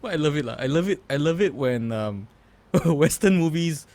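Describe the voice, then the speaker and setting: A man's voice: on the high side, expressive, moderate pace, darkish tone. A Singaporean man, a stand-up comedian, talking casually as a podcast guest in an easygoing, chatty way. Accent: Singaporean English. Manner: easygoing, chatty, filler-heavy.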